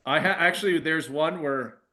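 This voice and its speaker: A man telling casual, animated anecdotes as a former pitcher among old teammates on a video call, swearing and quoting others as he goes. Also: moderate pace. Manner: animated, profane, quotes others' lines.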